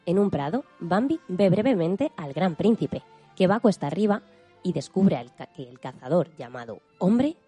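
A woman's voice: lowish voice, mellow, breathy edge. A woman narrating a scripted story summary.

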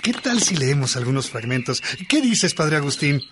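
An actor playing a devout, respectful disciple in a radio drama, with birdsong clearly heard behind him. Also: male voice, fairly high pitch, very animated, moderate pace, background noise. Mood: earnest, devout. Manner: respectful, earnest. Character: Faustino, devout, eager disciple content with communal life.

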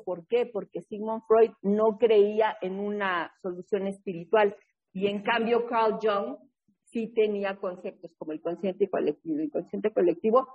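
A woman from New England leading an online recovery workshop, telling stories in a lively, casual way and often checking in with her listeners over a video call. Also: medium pitch, slightly rough, lo-fi sound. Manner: lively, keeps checking in with a tag question. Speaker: recovery workshop leader and sponsor. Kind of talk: casual storytelling talk in an online support meeting.

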